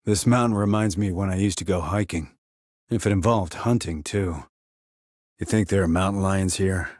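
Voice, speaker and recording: An actor playing a terse, dry, confident military sniper in a video game, with a husky voice and clipped, controlled reports. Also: male voice, sits low, moderate pace, a little mellow, dry recording. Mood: relaxed, observant. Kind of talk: scripted military game dialogue.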